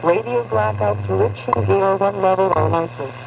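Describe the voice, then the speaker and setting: A man formally reading a scripted bulletin over shortwave radio, measured and impersonal. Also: high-pitched, very animated, vocal fry, lo-fi sound. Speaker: radio time station announcer. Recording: distant shortwave broadcast, noisy static.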